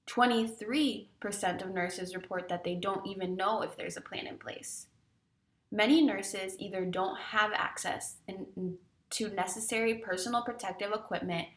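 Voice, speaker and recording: A woman delivering a prepared public statement as a union spokesperson, formal and deliberate, now and then stumbling over a word. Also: medium pitch, fairly bright tone. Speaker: industrial hygienist and nurses' union spokesperson. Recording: echoey room sound.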